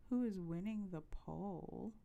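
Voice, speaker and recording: A woman streaming a video game from home, chatting casually with a soft voice close to her microphone, with lots of ums. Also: medium pitch, measured pace, creaky, lo-fi sound. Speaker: livestreamer. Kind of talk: casual gaming livestream chat. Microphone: close to a desk microphone.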